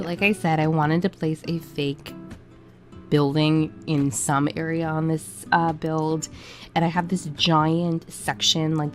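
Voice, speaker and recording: A woman with a lowish voice gives an informal, conversational gaming commentary. She sounds a bit sick and prone to cracking from a cough. Music plays behind her. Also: darkish tone. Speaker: gaming video creator. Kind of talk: casual voiceover commentary on a game build. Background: background music.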